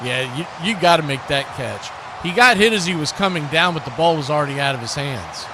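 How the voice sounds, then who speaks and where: A man's voice: on the high side, expressive, brisk, noisy background. A man hosting a livestream, calling football play-by-play and chatting casually with viewers. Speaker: livestream host and play-by-play commentator.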